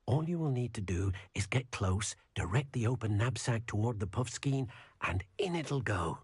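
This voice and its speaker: Gentle, lilting, husky little house-elf. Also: male voice, medium pitch, animated, moderate pace, dry recording. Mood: helpful, earnest. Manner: speaks of himself in third person, polite. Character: gentle, earnest house-elf servant, fond of beasts. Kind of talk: video game character dialogue.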